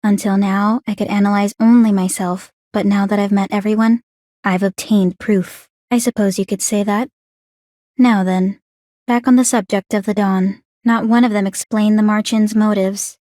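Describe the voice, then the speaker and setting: A woman's voice: mid-range voice, steady tone, moderate pace, warm tone, no echo, studio quality, natural voice. A woman playing an aloof, bookish young warrior maiden in a video game, speaking in a composed, formal, precise and detached way. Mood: calm, analytical. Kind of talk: English video game voice acting.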